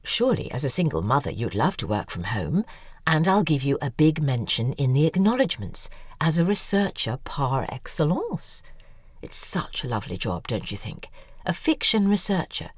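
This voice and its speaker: An actress in an audio drama playing a self-obsessed, exuberant novelist who speaks in an effusive, articulate, theatrical way. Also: female voice, deep voice, sing-song, normal speed, slightly rough, no room sound, studio quality, full character voice. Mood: coaxing, effusive.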